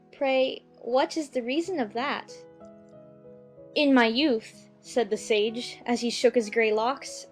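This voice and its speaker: A woman playing a polite, earnest, timid little girl in a dramatized storybook audiobook. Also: sits high. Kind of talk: dramatized audiobook character acting.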